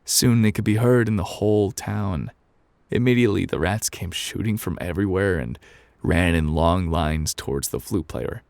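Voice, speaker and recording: A man voicing a gruff, indifferent game character who reads a bedtime story in an offhand ASMR narration style with dramatic pauses. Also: deep voice, darkish tone, no echo. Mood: offhand, unhurried.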